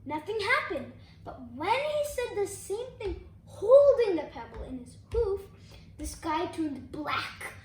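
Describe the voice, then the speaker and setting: Child storybook reader, very high voice, careful delivery, recorded in an echoey room. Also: big pitch swings, slow, darkish tone. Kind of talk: storybook read-aloud. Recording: echoey room, faint background noise.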